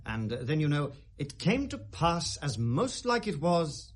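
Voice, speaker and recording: A British actor playing a brooding, sardonic young prince feigning madness, theatrical and mercurial in a 1970s classical radio drama. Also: male voice, on the high side, big pitch swings, a little slow. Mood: teasing. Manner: theatrical, mercurial, precisely declaimed.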